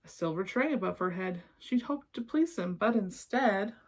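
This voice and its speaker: Female children's read-aloud presenter, slightly husky, gentle and encouraging, talks straight to the young listener. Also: medium pitch, expressive, moderate pace. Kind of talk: expressive storybook reading with asides to young listeners.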